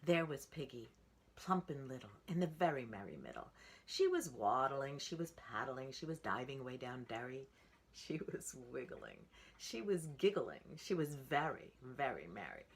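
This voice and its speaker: A woman in her sixties reading a picture book aloud to children in an expressive, sing-song rhythm. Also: a bass voice, normal speed, husky. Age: 60s. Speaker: storytime reader.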